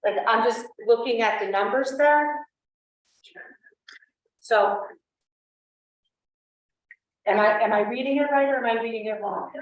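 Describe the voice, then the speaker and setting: Board member, female, higher voice, persistent questioner; distant, roomy, muffled pickup. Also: a little slow, pauses often. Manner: probing, walks through figures aloud. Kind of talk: questioning at a public meeting. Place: large meeting room. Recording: echoey, dull meeting stream from afar.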